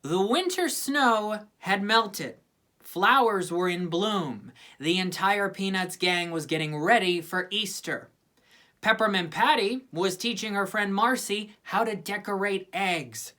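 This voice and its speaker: A woman reads a children's story aloud with expressive narration. Her pitch sits in a middle range for a woman and moves around in a very animated way. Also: takes it slowly. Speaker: storybook reader.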